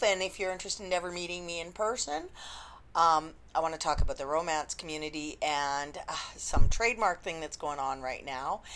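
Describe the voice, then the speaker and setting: A woman, an indie romance author, chatting unscripted to camera with a slightly husky voice and lots of ums. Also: on the low side, fairly bright tone. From Canada. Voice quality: slightly husky after illness. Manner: chatty, rambling, frequent ums. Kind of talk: unscripted vlog chat.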